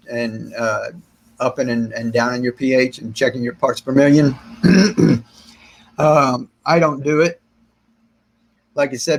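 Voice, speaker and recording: A middle-aged man hosting a gardening livestream Q&A, slightly raspy from long talking, rambling and relaxed as he answers viewer comments. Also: medium pitch, rough recording. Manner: rambling, relaxed, many restarts and fillers, gestures with hands. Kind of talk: casual live-stream chat, reading and answering viewer comments. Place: small indoor room with shelves of plants.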